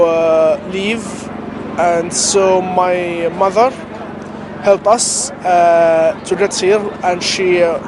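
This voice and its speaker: Halting young man, high voice. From Syria. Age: young adult. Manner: hesitant, many pauses and fillers. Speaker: refugee interviewee. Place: outdoors at a city rally. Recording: outdoor street noise, traffic.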